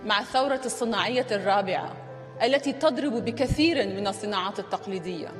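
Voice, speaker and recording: Woman, speech, rhetorical questions, very fast pace, music behind. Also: mid-range voice.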